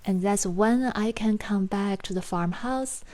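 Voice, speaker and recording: A woman from China with a Chinese accent, gently narrating a watercolor painting tutorial in unhurried explanations. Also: medium pitch. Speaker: watercolor art teacher and farm owner.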